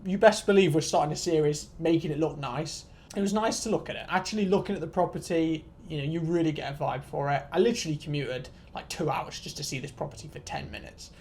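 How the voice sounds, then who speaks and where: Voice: medium pitch, quick pace, darkish tone. Rambling young vlogger, self-deprecating and sweary. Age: 20s. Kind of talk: casual storytelling rant to viewers.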